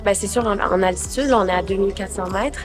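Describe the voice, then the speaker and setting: A woman's voice: mid-range voice, fast. Woman with a Quebec French accent, music behind. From Canada, Montreal. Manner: relaxed, conversational, some fillers. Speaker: singer and artistic manager. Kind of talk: interview answers.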